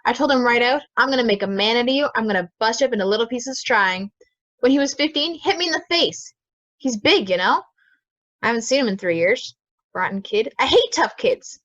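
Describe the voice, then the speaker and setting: A woman's voice: a little high, expressive, brisk, a bit bright. Woman playing a bitter juror, dull recording. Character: gruff, bitter parent juror estranged from a tough kid. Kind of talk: amateur audio drama acting.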